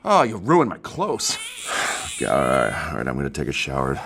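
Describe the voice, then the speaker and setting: An actor playing a domineering, foul-mouthed husband and new father in an audio drama, gruff, impatient and bullying. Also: a bass voice, wildly swooping pitch, moderate pace, noisy background, heavily acted. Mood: bullying, angry. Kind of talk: audio fiction acting.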